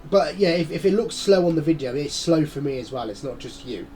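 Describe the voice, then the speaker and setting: A man's voice: on the high side, animated, fairly quick, darkish tone, a little hiss or hum, poor audio. A man giving casual, rambling commentary while playing a racing game. He has a blocked nose from a head cold and breathes through his mouth. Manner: rambling, chatty, muttering asides. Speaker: gaming channel host.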